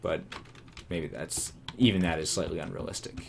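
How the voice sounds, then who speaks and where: Deep-voiced man, hoarse game commentary. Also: fairly even intonation, moderate pace, slight background noise. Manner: rambling, self-amused, musing asides. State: voice going hoarse. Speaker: let's play commentator. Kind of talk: casual gameplay commentary.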